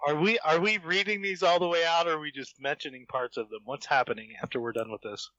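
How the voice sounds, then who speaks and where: A man's voice: a little high, fast talker, bright, forceful tone, dry recording, lo-fi sound. A man bantering casually as a podcast guest, with a teasing, mock-indignant way of talking. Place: remote group voice call.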